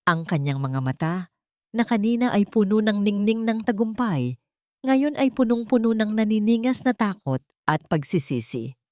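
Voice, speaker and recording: A woman's voice: medium pitch, sing-song, moderate pace, darkish tone, no echo, studio quality. A woman storyteller reading dramatic scripted fiction narration.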